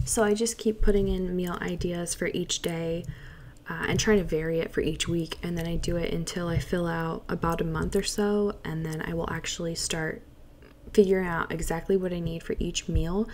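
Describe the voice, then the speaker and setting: A woman's voice: lowish voice, fairly even intonation, moderate pace, darkish tone, a little hiss or hum. A young woman chatting casually in a voice-over, walking through items in her home kitchen and listing them with fillers. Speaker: lifestyle vlogger.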